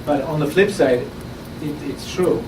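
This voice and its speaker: A man with an Austrian accent pitching his startup live, chatty and loose, tripping over and repeating words as he goes. He sounds set back from the mic in a roomy, echoing space. A steady hiss of air and room noise sits under his voice. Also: unhurried. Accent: Austrian German. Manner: conversational with frequent restarts and repeated words. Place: conference room at an innovation event. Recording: roomy, distant, noisy audience recording.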